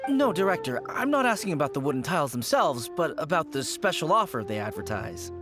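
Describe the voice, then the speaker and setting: A man's voice: on the high side, very animated, fairly quick. A man voicing a polite young male funeral parlor assistant, speaking hesitantly and apologetically. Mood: doubtful. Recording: game music behind.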